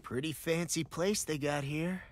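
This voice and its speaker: An actor voicing a casual, tactful young rebel companion in a video game, informal and a little hesitant. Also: male voice, on the high side, a little slow, lightly acted. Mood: impressed. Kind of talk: video game cutscene acting.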